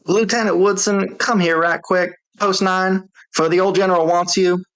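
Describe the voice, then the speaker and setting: A man's voice: sits high, steady tone, normal speed, fairly bright tone, smooth, voice pushed up. A man voicing a lazy, insolent army sentry with a drawling rustic American way of talking. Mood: drawling call.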